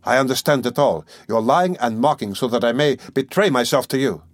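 A man's voice: mid-range voice, animated, brisk, dry recording, clean studio sound, pitched above the performer's own voice, close to an everyday voice. A man voicing a haughty, tormented young suspect in an audiobook, imperious and defiant. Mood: raging. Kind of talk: dramatic audiobook character reading.

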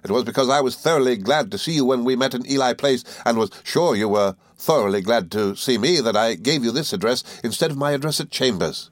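A man voicing a cheerful, good-natured young gentleman law student in an audiobook, eager and flustered, with little stammering hesitations. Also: medium pitch, expressive, fairly quick, few pauses, no echo, pitched above the performer's own voice, lightly acted. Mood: eager, friendly. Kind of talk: audiobook character dialogue.

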